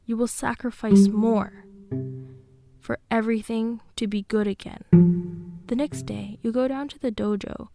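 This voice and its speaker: A woman narrating a radio drama in the second person, with deliberate phrasing and hushed, breathy passages. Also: on the high side, fairly even intonation, over loud music. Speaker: narrator and host.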